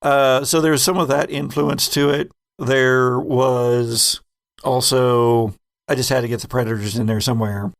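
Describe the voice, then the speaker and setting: Gravelly-voiced man in casual podcast chat. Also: mid-range voice, steady tone, measured pace, no room sound, clean studio sound. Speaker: podcast host and game master.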